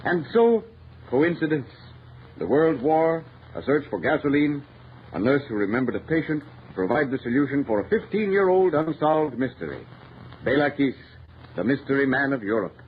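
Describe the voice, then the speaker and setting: An actor playing an urgent, melodramatic narrator of an old-time radio crime thriller. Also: male voice, mid-range voice, sing-song, normal speed, natural voice. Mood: grave. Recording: noisy, dull vintage radio recording.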